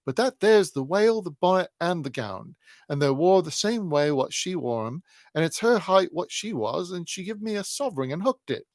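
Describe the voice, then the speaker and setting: A bewildered, stubborn street boy, voiced by a man reading a storybook aloud in a high, strained voice with a gravelly rasp. He sounds dry and close to the mic. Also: animated, moderate pace, no echo. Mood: perplexed. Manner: high, strained cockney-style street talk.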